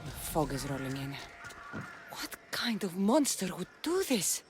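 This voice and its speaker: Tough Greek mercenary woman, very expressive. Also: lowish voice, unhurried, over music. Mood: grim, troubled. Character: tough adult female Greek mercenary warrior, protective and defiant. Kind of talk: video game cutscene acting.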